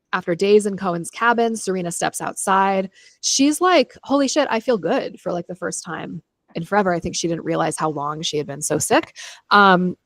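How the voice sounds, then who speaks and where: A woman's voice: on the low side, no room sound. A woman co-hosting a book club podcast, moving between casual, opinionated chat and read-aloud chapter summaries with dry humor and easy swearing.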